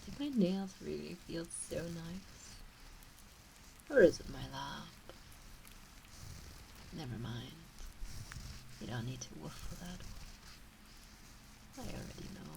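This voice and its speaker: A woman voicing a teasing, doting kitsune witch in an intimate ASMR roleplay, cooing softly and often dropping into whispers. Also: on the low side, vocal fry, noisy background, heavily acted.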